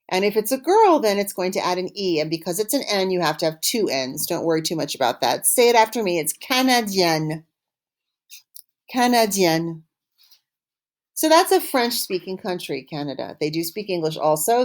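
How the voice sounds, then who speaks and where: A woman teaching a language class, encouraging and instructive, carefully enunciating phrases for learners to repeat. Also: mid-range voice. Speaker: French teacher. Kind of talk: language lesson with call-and-repeat.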